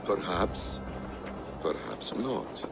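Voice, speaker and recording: An actor playing a cool, sly and menacing assassin in a video game, speaking in a deliberate, guarded, smooth way. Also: male voice, deep voice, sing-song, very noisy, exaggerated voice. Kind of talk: video game cutscene acting.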